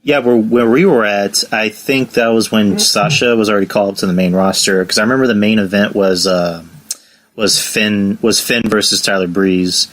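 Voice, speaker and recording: Low-voiced laid-back podcast host. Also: male voice, fairly even intonation, fairly bright tone. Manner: laid-back, halting with fillers. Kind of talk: casual chat.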